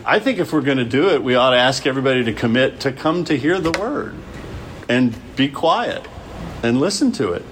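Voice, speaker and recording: Halting man leading a church discussion. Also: medium pitch, big pitch swings, fairly bright tone, slight background noise. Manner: halting, many restarts. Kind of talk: casual group discussion.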